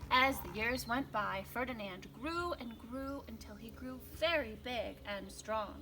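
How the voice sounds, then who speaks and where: A woman reads a picture book aloud to young children in an expressive way, with dramatic emphasis and hushed phrase endings. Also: on the high side, a little slow, a little hiss or hum. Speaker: children's storytime reader. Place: outdoors in a backyard.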